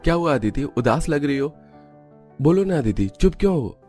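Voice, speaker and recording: An actor playing a kind, attentive young husband in a cartoon family story, speaking earnestly. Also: male voice, fairly high pitch, animated, normal speed, a little mellow, soft background music, close to an everyday voice. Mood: concerned, resolute.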